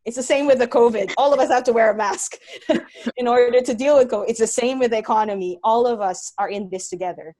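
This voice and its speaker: A woman's voice: on the high side, quick pace, dark tone. Earnest woman explaining on a video call. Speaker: guest speaker, environmental scientist. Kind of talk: webinar talk and Q&A.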